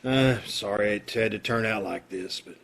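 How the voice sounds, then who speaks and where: A man's voice: lowish voice, moderate pace, a bit bright, slight background noise. A man playing a brooding, self-loathing drifter in an old time radio drama, with blunt, slangy tough-guy talk. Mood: uneasy, regretful.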